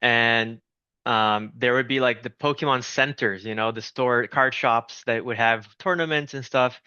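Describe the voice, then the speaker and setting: Bright, crisp-voiced Brazilian-born man. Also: mid-range voice, unhurried, no room sound, highs cut off. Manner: friendly, chatty, frequent restarts. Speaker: game studio founder, guest. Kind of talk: casual interview talk.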